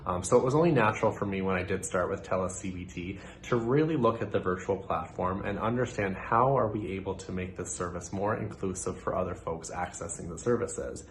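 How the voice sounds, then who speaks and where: Earnest young man, low voice. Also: a little hiss or hum. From Nova Scotia, Canada. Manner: earnest and measured, with occasional fillers. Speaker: social work student presenting a capstone project. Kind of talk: prepared presentation.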